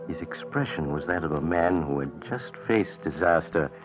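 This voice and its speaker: An actor playing a mysterious, knowing storyteller who narrates an old radio suspense drama in an ominous, theatrical manner. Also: male voice, rumbling low, expressive, moderate pace. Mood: ominous, dramatic. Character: mysterious storyteller, knowing and grave, also doctor. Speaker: narrator and host. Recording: muffled vintage radio recording with music.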